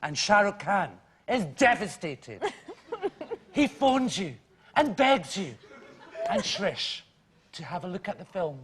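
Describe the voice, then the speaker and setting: A man's voice: high voice, big pitch swings, takes it slowly, pauses often, fairly bright tone. A man co-hosting a live stage show with casual, playful banter, mixing Hindi into his English. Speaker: co-host. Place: on stage in a radio theatre. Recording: noisy live stage recording with clicks.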